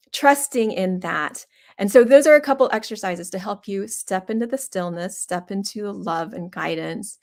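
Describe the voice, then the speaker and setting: A woman explaining a mindfulness exercise in a conversational way, thinking aloud in short, halting phrases. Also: on the low side, darkish tone. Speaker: doctor and show host.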